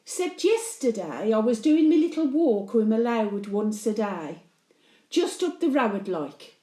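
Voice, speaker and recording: A woman's voice: fairly high pitch, very animated, a little slow, mellow, reverberant. A woman playing a blunt, antisocial elderly Birmingham widow, telling chatty stories in local dialect as a dramatic monologue. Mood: softened, confiding. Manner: blunt, grumbling, colloquial dialect. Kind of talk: dramatic monologue, chatty storytelling to late husband.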